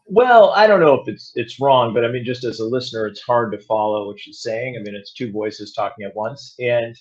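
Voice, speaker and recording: A man talking casually as an interview guest, explaining things thoughtfully and haltingly, with frequent restarts. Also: medium pitch. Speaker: audio drama producer and director, interview guest. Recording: dull, lo-fi audio.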